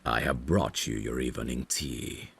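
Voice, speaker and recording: A man's voice: a bit bright, heavily acted. A man voicing a courteous, devoted, centuries-old vampire butler in an ASMR roleplay, speaking formally and deferentially. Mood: courteous, attentive. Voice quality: very deep, creaky. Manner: formal, deferential, measured servant's phrasing. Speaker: ASMR voice actor. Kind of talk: ASMR roleplay, dramatic scripted acting.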